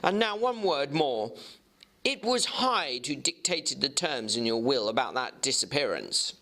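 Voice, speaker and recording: A man's voice: mid-range voice, very animated, measured pace, pushed and bright, full character voice. A man voicing a grave, probing lawyer who questions a friend directly, in a dramatic book reading. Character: grave, probing male lawyer, loyal but suspicious friend. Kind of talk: dramatic audiobook character reading.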